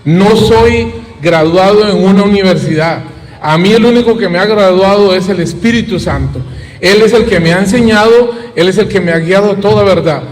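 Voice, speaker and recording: A man's voice: high voice, normal speed. A man in his late fifties with a Costa Rican accent, giving testimony and preaching to an audience. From Costa Rica. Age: late 50s. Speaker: evangelical preacher. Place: large hall with an audience. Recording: echoing hall.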